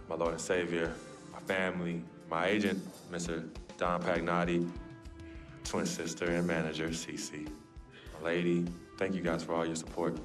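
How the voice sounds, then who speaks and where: A man's voice: low-pitched, close to an everyday voice. An actor playing an earnest young male basketball star in a video game cutscene, laid-back with his family and formal at a press conference. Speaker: pro basketball player.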